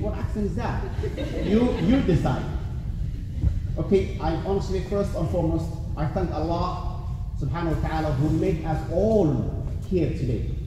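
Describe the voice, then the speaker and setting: A man from Africa, a native Somali speaker with a Welsh-tinged English accent, giving an earnest motivational speech at a community event and often saying "trust me" to the crowd. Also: high-pitched. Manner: earnest, repeats "trust me", addresses the crowd directly. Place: large hall at a community event. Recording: echoey hall from far off, noisy.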